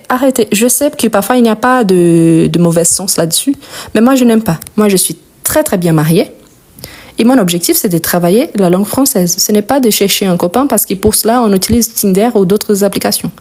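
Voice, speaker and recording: Mellow-voiced Brazilian-born woman teaching French. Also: medium pitch. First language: Portuguese. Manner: slow, repeats example words for learners. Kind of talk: explaining grammar to learners.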